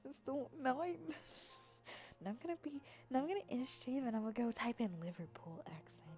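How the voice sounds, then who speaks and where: A young adult woman chatting casually on a livestream in a playful, silly, expressive manner, reacting to her viewers. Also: a little high, brisk, soft background music. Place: apartment.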